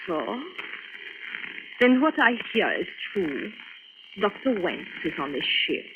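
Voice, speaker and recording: An actress playing an anxious young adventuress, dramatic and earnest, in an old radio serial. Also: female voice, medium pitch, big pitch swings, creaky, exaggerated voice. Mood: earnest, intent. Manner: dramatic, earnest, deliberate phrasing. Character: anxious young foreign adventuress from the Saigon underworld. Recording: noisy, narrow-band old radio recording.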